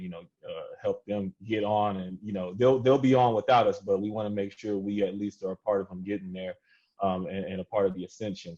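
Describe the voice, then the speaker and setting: A man's voice: low voice, warm tone. A man talking casually and slangily as a podcast co-host, often padding his phrases, on dull lo-fi audio. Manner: slangy, peppers talk with "you know".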